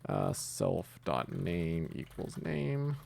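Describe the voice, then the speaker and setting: Deep, gravelly man giving an informal tutorial. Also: wildly swooping pitch, very slow, darkish tone, a little hiss or hum. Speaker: host of a programming tutorial channel. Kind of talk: informal step-by-step screencast tutorial.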